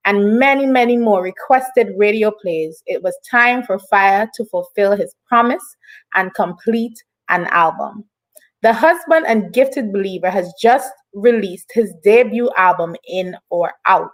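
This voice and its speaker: A woman presents an online interview show in a casual style. She sounds upbeat and encouraging, moving between reading introductions and chatting. Her pitch sits in a middle range for a woman. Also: poor audio.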